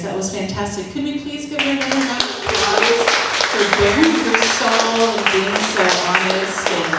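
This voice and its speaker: A woman with a lowish voice hosts a live reading in a very reverberant space. She speaks unscripted to the room, picked up from a distance with clear background noise. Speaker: host of a college poetry event. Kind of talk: unscripted event introductions and thanks. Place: reverberant event room with an audience. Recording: very echoey room, distant mic, noisy.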